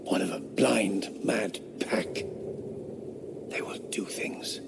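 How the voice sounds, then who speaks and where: An actor playing a haughty, sceptical 18th-century philosopher who talks with scorn and drops into fearful whispers, in a radio drama. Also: male voice, low-pitched, slow pace, vocal fry, exaggerated voice.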